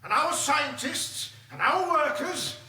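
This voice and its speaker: An actress playing a combative British parliamentarian in a radio drama, declaiming indignantly. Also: female voice, high voice, sing-song, takes it slowly, pushed and bright, full character voice. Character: combative British female parliamentarian challenging the government. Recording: echoey chamber, distant mic, faint noise.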